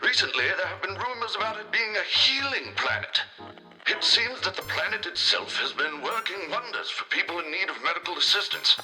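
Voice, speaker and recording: Male actor as ancient tiny alien physician, highish voice, proud, wistful, measured, radio transmission, children's space drama, music underneath. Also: big pitch swings, poor audio. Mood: helpful, concerned. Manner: formal, measured, explanatory. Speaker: doctor calling by radio.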